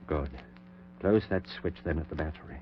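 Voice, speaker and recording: Obsessed, deep-voiced surgeon, vintage radio horror. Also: male voice, moderate pace. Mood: grave, intent. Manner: commanding, clipped orders, intense. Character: obsessed middle-aged male surgeon reviving his dead wife. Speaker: radio drama actor. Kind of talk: 1940s radio horror acting. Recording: muffled, noisy, humming 1940s radio recording.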